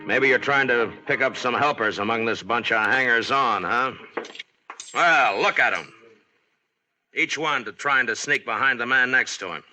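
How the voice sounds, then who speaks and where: An American actor playing a tough, blunt, commanding Old West marshal in a 1950s-style radio western. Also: male voice, mid-range voice, moderate pace, pushed and bright, faint music behind, poor audio. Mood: challenging. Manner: blunt, challenging, authoritative. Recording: old radio recording with background hiss.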